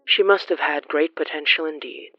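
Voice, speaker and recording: A narrator reading scripted horror fiction in a formal, measured storytelling manner. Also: medium pitch, dry recording, muffled recording, faint music behind.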